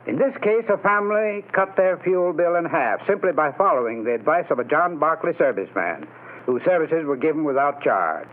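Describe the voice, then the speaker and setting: A man delivering a 1930s radio sponsor pitch as an announcer, in a formal, emphatic, declamatory way. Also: expressive, a little fast. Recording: tinny, narrow vintage radio recording.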